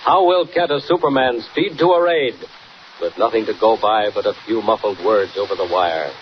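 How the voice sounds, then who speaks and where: A man's voice: lowish voice, moderate pace, natural voice. Suspenseful vintage radio announcer, a man, muffled recording. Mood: urgent, teasing. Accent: American. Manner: suspenseful, emphatic. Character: urgent, suspense-building serial announcer. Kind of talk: dramatic 1940s radio serial narration. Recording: slightly noisy, muffled 1940s radio recording.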